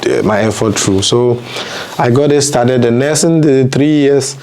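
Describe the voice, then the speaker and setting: A man recounts his experience in a casual interview. His delivery is earnest and halting, with frequent restarts and German words mixed in. His pitch sits in an ordinary middle range. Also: a little mellow, slight background noise. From Ghana. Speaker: interview guest.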